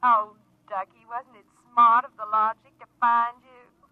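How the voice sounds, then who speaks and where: Purring femme fatale on the phone. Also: female voice, a little high, level tone, a little slow, dry recording. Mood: flirtatious. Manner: coy, purring, breathy endearments. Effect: telephone filter. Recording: tinny 1950s radio drama phone voice.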